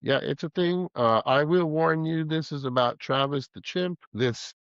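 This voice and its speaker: A man's voice: a little high, moderate pace, vocal fry, fairly bright tone, no echo, muffled recording. A man with a cold, stuffy-nosed, hosting a casual podcast and rambling with jokes. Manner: rambling, joking, chuckling. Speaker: podcast host.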